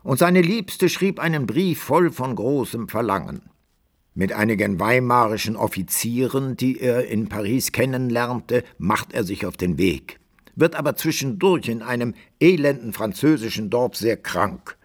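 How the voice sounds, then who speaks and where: A man narrating a literary radio drama in an expressive, measured storytelling manner. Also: mid-range voice.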